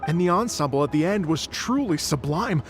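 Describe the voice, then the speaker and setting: A man's voice: on the high side, lively intonation, brisk, close to an everyday voice. An actor voicing an earnest, effusive young male musician in a video game, speaking in a heartfelt way. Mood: awestruck. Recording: music under the voice.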